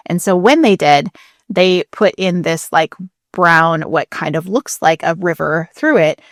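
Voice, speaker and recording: A woman co-hosting a podcast, explaining things in a casual, chatty way with an animated, emphatic, informal manner. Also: lowish voice, vocal fry, no echo, studio quality. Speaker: podcast co-host.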